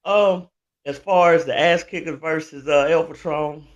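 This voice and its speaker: A man's voice: on the high side, unhurried. A man co-hosting a podcast, brash and trash-talking as he banters about fantasy football. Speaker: podcast co-host. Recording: dull lo-fi mic.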